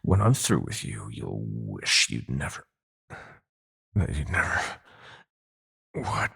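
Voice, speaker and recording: A man playing a sadistic, possessive god of nightmares in an audio roleplay, with a gravelly, breathy growl and a slow, taunting, theatrical delivery. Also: rumbling low, very animated, fairly bright tone, heavily acted. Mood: furious threats. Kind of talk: dramatic audio roleplay villain.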